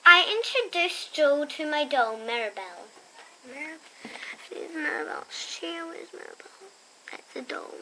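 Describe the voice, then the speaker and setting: A young girl reading a picture book aloud expressively, with chatty, quieter asides to her listeners. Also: high voice, big pitch swings, measured pace, a little hiss or hum, low-quality recording. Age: child. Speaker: storybook reader and host.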